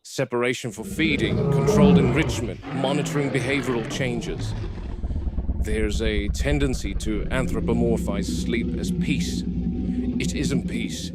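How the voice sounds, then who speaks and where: A man playing a grave, reflective middle-aged game warden, speaking a measured, precise field log in an analog horror drama. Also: medium pitch, very noisy, natural voice. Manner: measured, solemn, precise phrasing. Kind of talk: scripted monologue as a spoken field log.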